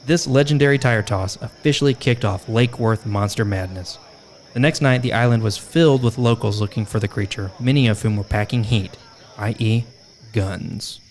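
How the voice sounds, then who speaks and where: A man's voice: medium pitch, normal speed. A man hosting a podcast, reading scripted narration in a wry, tongue-in-cheek way. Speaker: podcast host.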